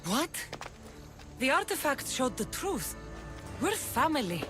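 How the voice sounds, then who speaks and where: A determined warrior sister in a game cutscene. She speaks slowly with a lively, fairly high voice and a coaxing manner. Music plays behind her. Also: exaggerated voice. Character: bold female warrior, eager older sister. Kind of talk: video game cutscene acting.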